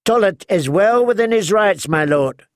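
A man's voice: high voice, animated, moderate pace, no room sound, clean studio sound, voice pushed up. A man voicing a formal knight who states the rules firmly. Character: formal, measured male knight.

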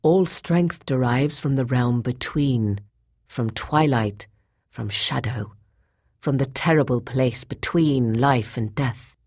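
A woman voicing a grave, sorrowful and unyielding woman of terrible power in a fantasy story, quiet and solemn. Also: rumbling low, slow pace, no echo, clean studio sound, exaggerated voice. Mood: solemn resolve.

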